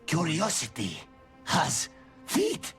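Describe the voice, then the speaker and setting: An actor playing a curious possessing demon in a video game, speaking in halting, stretched-out fragments. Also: male voice, on the high side, huge pitch swings, a bit bright, faint music behind, full character voice. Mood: eerie curiosity. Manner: halting, broken fragments with long stretched words.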